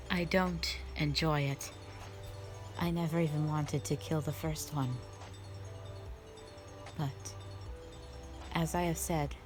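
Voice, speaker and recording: A woman playing a stern, calm, duty-bound dragon guardian, speaking formally and solemnly in a scripted roleplay audio drama. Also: low-pitched. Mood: solemn, explaining, then quiet, regretful. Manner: measured, solemn, formal phrasing.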